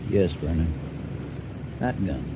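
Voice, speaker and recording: An actor playing a polite older farmer at sea in a radio drama, hesitant, understated and suspicious. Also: male voice, low-pitched, very animated, slow pace, background noise, exaggerated voice. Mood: wary, suspicious.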